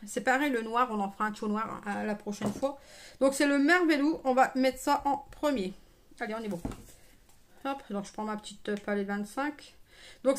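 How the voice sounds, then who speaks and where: A woman giving a casual live make-up tutorial, chatting familiarly with her viewers and throwing in playful asides. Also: a little high. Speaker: live make-up streamer and beauty seller.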